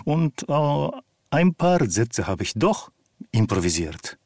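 A calm, relaxed man with a deep voice and a Japanese accent, answering interview questions hesitantly in a language not native to him. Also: takes it slowly, no room sound, clean studio sound. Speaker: actor and opera singer, interview guest.

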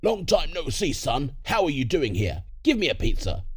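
A man's voice: on the high side, very animated, normal speed, breathy edge, pitched above the performer's own voice. A man voicing a bitter, taunting middle-aged criminal parent out for revenge in a story. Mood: sneering.

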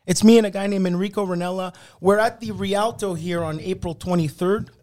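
High-voiced guy, italian is his first language, tells stories fast with lots of restarts. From Canada. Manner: animated anecdotes with laughs and restarts. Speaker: podcast guest, comedian. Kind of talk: casual storytelling interview.

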